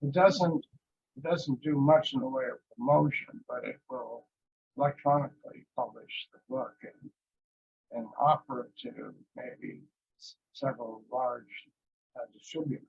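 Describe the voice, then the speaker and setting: A man talking casually and haltingly in a group discussion, with dull lo-fi sound. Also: mid-range voice, vocal fry. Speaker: publisher and author in a community discussion.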